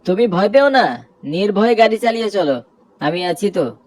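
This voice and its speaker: A man's voice: high voice, animated, moderate pace, background noise, pitched above the performer's own voice. Man voicing a raised, emphatic guardian spirit. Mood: bold, reassuring. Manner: loud, raised, emphatic reassurance. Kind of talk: cartoon horror story dialogue. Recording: prominent music bed under voice.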